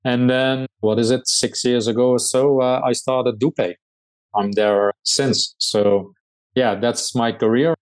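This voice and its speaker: A middle-aged man from the Netherlands with a Dutch accent, a startup founder answering interview questions in a measured way with frequent hesitations. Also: medium pitch, steady tone, low-quality recording.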